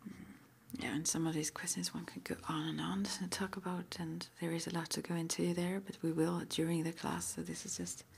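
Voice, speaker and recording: Whispered ASMR roleplay: woman as gentle, reassuring witch school interviewer, unhurried, lowish and creaky, faint background noise. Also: flat delivery. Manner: soft, unhurried, reassuring, with murmured acknowledgements.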